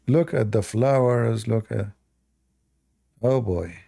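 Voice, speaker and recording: A man's voice: mid-range voice, slow, vocal fry, mellow. A man hosting a live-stream debate, talking to the chat in a sarcastic, mocking way. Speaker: livestream debate host.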